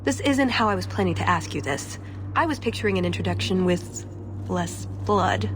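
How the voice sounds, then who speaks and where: A woman voicing a self-assured, sly young elf thief in a fantasy video game, teasing and smooth. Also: on the low side, animated, moderate pace, background noise, lightly acted. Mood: persuasive. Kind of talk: video game character dialogue.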